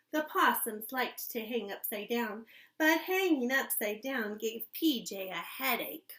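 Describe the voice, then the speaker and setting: A woman kindergarten teacher reading a storybook aloud expressively, pausing to ask young listeners questions. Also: on the high side, a bit bright.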